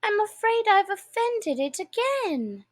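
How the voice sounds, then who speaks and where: A polite, chatty little girl from a storybook, voiced by a woman. The voice sits very high with a lilting, animated melody. She is eager and apologetic, rambling on in an unhurried way. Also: full character voice. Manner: apologetic, soothing, dreamy rambling. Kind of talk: storybook character voice.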